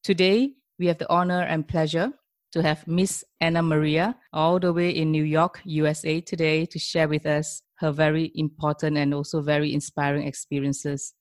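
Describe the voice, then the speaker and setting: A woman's voice: sits low, flat delivery, dry recording. Courteous woman host, close dry sound. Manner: effusive, complimentary, deliberate. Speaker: podcast host. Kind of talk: formal, courteous interviewing.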